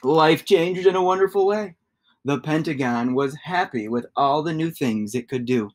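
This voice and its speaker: A man, giving an expressive read-aloud of a children's story. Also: on the high side, moderate pace. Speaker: storybook reader.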